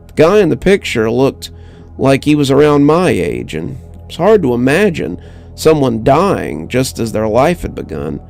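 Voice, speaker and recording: A man narrates a first-person horror tale over music, his voice gravelly at the edges. He is measured and dramatic, pausing for weight, with expressive rises and falls. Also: mid-range voice. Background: music under the voice.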